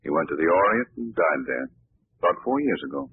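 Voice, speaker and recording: Suave wry detective guy, gravelly, really swoopy delivery, tinny old radio. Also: deep voice, fairly quick, heavily acted. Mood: calm. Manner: wry and calmly probing. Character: suave gentleman sleuth, adult man, calm and wry. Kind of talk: 1940s radio acting.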